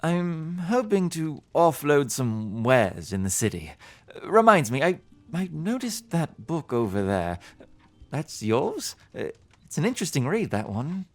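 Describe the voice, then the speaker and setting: A man's voice: fairly high pitch, very animated, a little slow. A man playing a weary, amiable, easily flustered travelling bookseller in a fantasy audio drama, speaking hesitantly. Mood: friendly. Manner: hesitant, stammering. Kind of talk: fantasy podcast acting.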